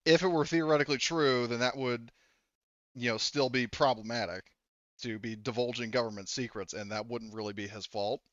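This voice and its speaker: Dry, clear-voiced man running a game. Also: medium pitch, fairly even intonation, brisk, fairly bright tone, dry recording, lo-fi sound. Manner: informal, rambling, profane. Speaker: game master. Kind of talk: casual tabletop game narration.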